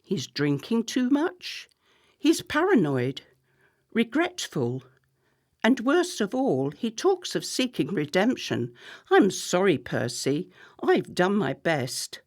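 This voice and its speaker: A woman acting a discreet, ambitious campaign manager in a radio play, controlled, deferential and deliberate. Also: mid-range voice, huge pitch swings, a little mellow, exaggerated voice. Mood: troubled, weary.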